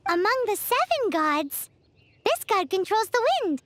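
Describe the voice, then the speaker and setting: Tiny fairy sidekick, squeaky very high voice, bouncy, chatty, game music behind. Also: female voice, big pitch swings, a little slow, fairly bright tone, heavily acted. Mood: chirpy. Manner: chirpy, speaks of herself in third person. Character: small floating fairy-like female companion, chatty and eager. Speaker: video game character.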